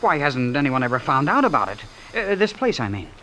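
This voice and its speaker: An actor playing a naive, awestruck and uneasy young man, halting and full of wonder, in an old-time radio drama. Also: mid-range voice, big pitch swings, pushed and bright, a little hiss or hum. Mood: disbelieving. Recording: old radio broadcast with music.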